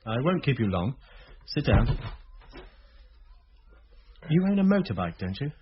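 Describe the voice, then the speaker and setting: An actor playing an authority figure questioning someone in a radio drama, formal, controlled and probing. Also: male voice, medium pitch, very animated, measured pace, a little hiss or hum. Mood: probing, stern. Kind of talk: radio drama interrogation dialogue. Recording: dull, muffled radio recording.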